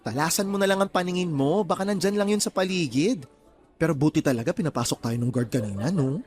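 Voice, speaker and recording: A man's voice: on the high side, fast talker, a little mellow, faint music behind, close to an everyday voice. Casting: man as affectionate, campy young Filipino best friend, gushing radio drama delivery. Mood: chatty.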